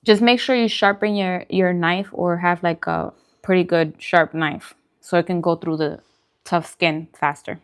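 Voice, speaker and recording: A woman's voice: lowish voice, fairly even intonation, measured pace, clear, resonant tone. A woman chatting casually and in a friendly way as she hosts a food livestream, answering viewers' comments.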